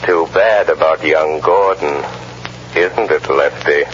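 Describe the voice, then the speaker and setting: A mysterious avenger in an old radio thriller, with a low voice that takes its time. He is calm, mocking and menacing, spacing his words theatrically. The sound is dull with a faint layer of scratchy noise. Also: full character voice. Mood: mocking menace. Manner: deliberate, taunting, theatrical. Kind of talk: 1930s-40s radio drama acting.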